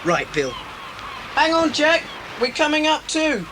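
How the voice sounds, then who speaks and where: A practical, responsible English boy in a children's adventure play. The delivery is alert and animated in pitch. Heavy engine rumble lies behind the cassette recording. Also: measured pace. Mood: responsible, brisk. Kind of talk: 1980s children's audio drama acting.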